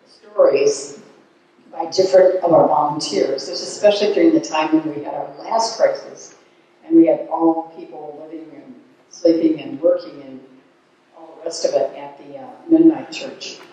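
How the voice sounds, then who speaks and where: Older woman, soft-edged mellow tone, earnest measured report, very echoey church hall. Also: low-pitched, recorded from a distance. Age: older, 70s. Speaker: ministry committee member giving advocacy report. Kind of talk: spoken report to a meeting. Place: large church sanctuary, indoors. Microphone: handheld microphone at lectern.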